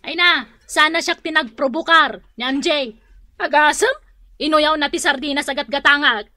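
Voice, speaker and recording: A woman's voice: high-pitched, normal speed, bright, forceful tone, dry recording, faint music behind. A woman with an Ilocano accent playing a proud, combative, complaining housewife in a radio drama. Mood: indignant. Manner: indignant, complaining.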